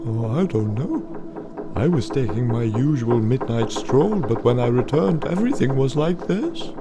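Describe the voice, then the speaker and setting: A man's voice: mid-range voice, expressive, a little slow, over music, pitched above the performer's own voice. A man voicing a kindly old male badger in a storybook, with a theatrical, friendly manner. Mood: bewildered, relieved.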